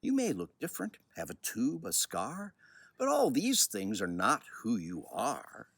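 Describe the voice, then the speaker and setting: An older man tells a personal story in a husky voice. His pitch swings widely and expressively, with the unhurried delivery of someone reading a picture book aloud to children. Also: wildly swooping pitch, fairly bright tone.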